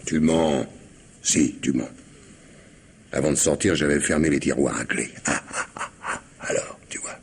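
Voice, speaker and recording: An actor in a vintage radio drama playing a suspicious, sardonic husband who needles and mocks. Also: male voice, rumbling low, unhurried, vocal fry, long pauses, husky, full character voice. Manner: mocking, needling, theatrical laughs.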